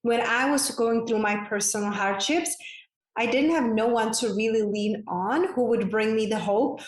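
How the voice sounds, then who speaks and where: A woman's voice: fairly high pitch, normal speed. A woman from the Czech Republic with a Czech accent, a podcast guest who talks in a gushing way with many "like" fillers. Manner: effusive, gushing, frequent "like" fillers. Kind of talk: conversational interview. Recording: echoey room.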